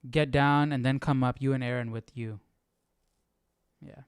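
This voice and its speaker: A man hosting a Bible study live, casually thinking aloud as he reads and explains, often checking himself with a quick question. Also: medium pitch, steady tone, clear, resonant tone, no room sound, clean studio sound.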